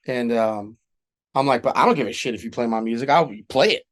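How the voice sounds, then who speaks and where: Brief: middle-aged man from Southern California, relaxed guest telling stories, swears easily, mid pitch. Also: brisk. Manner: candid storytelling, casual swearing. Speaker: guest, singer-songwriter and Marine veteran. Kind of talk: casual interview chat.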